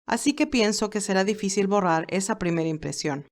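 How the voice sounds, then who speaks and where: A woman voicing a loyal, formal male business aide in an audio story, measured and advising. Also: medium pitch, expressive, natural voice. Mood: teasing, advising.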